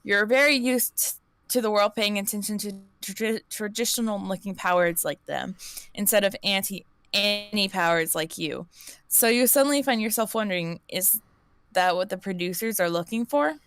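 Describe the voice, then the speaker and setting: A woman's voice: mid-range voice, normal speed, fairly bright tone, smooth, no echo. A woman streamer reading an interactive story aloud, often restarting words she misreads. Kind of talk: live-stream story narration.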